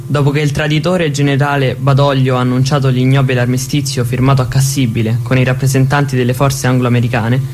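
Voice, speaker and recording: A man's voice: medium pitch, a little mellow, close to an everyday voice. An actor playing a formal, declamatory male newsreader delivering period bulletins in a radio drama. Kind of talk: archival news announcement in a radio drama.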